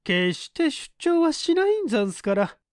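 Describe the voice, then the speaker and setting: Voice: sits high, very animated, moderate pace, a little mellow, no room sound, clean studio sound, voice pushed up. A narrator voicing a haughty middle-aged woman dressmaker who speaks in an affected, fussy, polite way. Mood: huffy, haughty.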